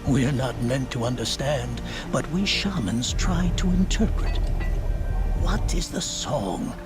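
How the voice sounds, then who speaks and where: A solemn male shaman from a video game, speaking very slowly with ritual care. His delivery is reverent and poetic, as if honoring spirits, over clear background music. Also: medium pitch, expressive. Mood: reverent, searching. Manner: measured, poetic, ceremonial speech. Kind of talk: dramatic video game dialogue. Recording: music behind the voice.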